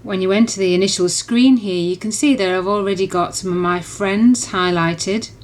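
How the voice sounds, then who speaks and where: A woman's voice: mid-range voice, moderate pace. A woman reviewer in a casual, chatty and enthusiastic demonstration, rambling along as she explains. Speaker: app reviewer.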